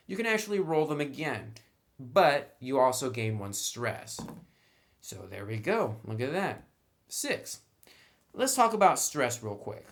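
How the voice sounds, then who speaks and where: Casual man explaining tabletop game rules. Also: fairly high pitch. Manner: conversational, wry asides.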